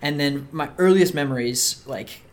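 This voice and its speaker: A man's voice: on the high side, normal speed. A young man raised in Mexico City, talking casually as a podcast guest, with lots of fillers like "um" and "like". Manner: relaxed, many fillers like "um" and "like". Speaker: podcast guest, soccer player. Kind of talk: casual interview chat.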